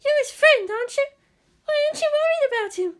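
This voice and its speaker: Anxious, very high-pitched schoolgirl. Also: animated, brisk. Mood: worried. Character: anxious, earnest, reserved schoolgirl class representative. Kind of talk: fan fiction character reading.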